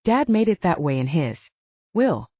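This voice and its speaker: A woman's voice: fairly low pitch, big pitch swings, measured pace, dark tone, slightly rough, dry recording, highs cut off, exaggerated voice. A woman voicing a wounded, self-reliant human ranger in an audiobook, confiding and candid. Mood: bitter, confiding. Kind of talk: audiobook character dialogue.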